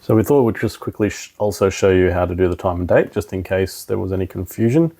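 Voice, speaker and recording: A man with a fairly low voice walks the listener through a practical tutorial. There is plenty of gravelly fry in his delivery. He explains each step informally, like a trainer showing installers the ropes. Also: quick pace, dark tone. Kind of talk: casual tutorial walkthrough.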